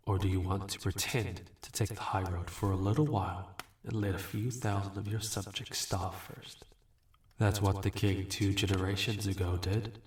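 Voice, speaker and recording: Man playing a deep, slow, condescending archdemon. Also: a little hiss or hum. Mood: menacing, taunting. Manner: condescending, deliberate, smooth-talking. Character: smug, weary, manipulative male archdemon bargaining for contracts. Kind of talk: scripted fantasy audio roleplay. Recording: roomy, echoing voice recording.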